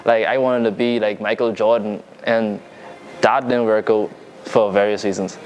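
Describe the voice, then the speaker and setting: A young man from the Bahamas with a Bahamian accent, talking casually as a music artist being interviewed, relaxed and full of fillers and restarts. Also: fairly low pitch, normal speed, a little hiss or hum, rough recording. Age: young adult. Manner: laid-back, loose, lots of fillers and restarts. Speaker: musician guest.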